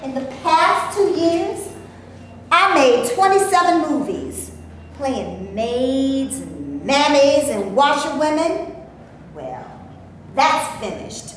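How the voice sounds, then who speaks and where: A woman's voice: high voice, sing-song, takes it slowly, heavily acted. A woman acting a feisty, fed-up film actress who is stuck playing maids, in a staged play reading, with sassy, indignant colloquial talk. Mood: defiant. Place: echoey room before a live audience. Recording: echoey room with noise, live audience recording.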